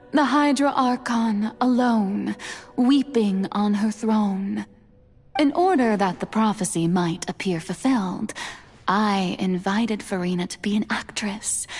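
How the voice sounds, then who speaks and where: An elegant goddess from a video game, speaks at a middle pitch over soft music. Her diction is refined and grandly theatrical. She comes across as self-assured and playful, with a wistful streak. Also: vocal fry, husky. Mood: solemn, dramatic. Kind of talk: video game character monologue. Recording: background music under studio voice.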